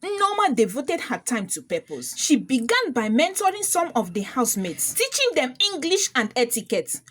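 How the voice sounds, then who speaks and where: A woman narrating a scripted dramatic story in a measured, solemn, literary manner. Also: sits high, big pitch swings, slightly rough.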